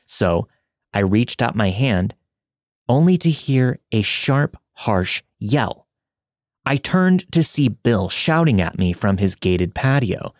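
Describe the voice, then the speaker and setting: A man's voice: medium pitch, no echo, clean studio sound. A man hosting a podcast, reading scripted material as a storyteller and narrator. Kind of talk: scripted first-person story narration and host-read promo.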